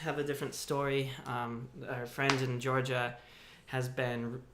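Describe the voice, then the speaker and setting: A man's voice: medium pitch, measured pace, background noise. Laid-back young man in an echoey room. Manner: relaxed, laid-back with swearing and laughs. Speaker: podcast co-host. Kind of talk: casual news chat.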